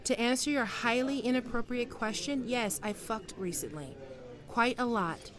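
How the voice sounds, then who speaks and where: A woman playing a flustered, wistful but proud writer facing a former lover in an intimate audio roleplay, halting and sighing as she speaks. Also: on the high side, a bit bright, close to an everyday voice. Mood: indignant, defiant. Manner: hesitant, halting, with sighs and trailing off. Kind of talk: intimate ASMR roleplay acting.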